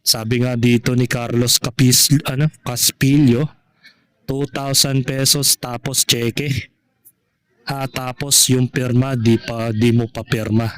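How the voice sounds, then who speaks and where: A man vlogging casual gossip commentary to his followers in a halting, repetitive way, often adding tag questions. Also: mid-range voice, flat delivery, smooth.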